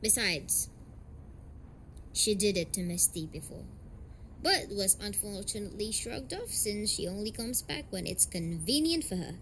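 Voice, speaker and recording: A young woman playing a blunt, defensive tsundere delinquent girlfriend in an amateur romantic audio roleplay, speaking haltingly and swearing. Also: medium pitch, expressive, fairly bright tone, slight background noise, low-quality recording. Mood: candid, bitter. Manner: hesitant, halting, foul-mouthed, defensive.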